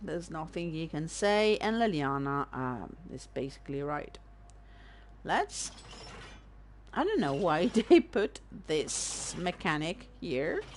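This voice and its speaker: Italian-accented woman host, native Italian speaker, chatty and self-deprecating, slow and fairly low, faint hiss behind. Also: big pitch swings. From Italy. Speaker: let's-play host. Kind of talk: casual gameplay commentary.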